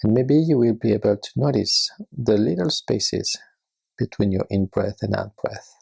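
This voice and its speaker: A meditation guide narrating a guided practice in a gentle, soothing and unhurried manner, with a husky, breathy, creaky voice. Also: medium pitch, animated.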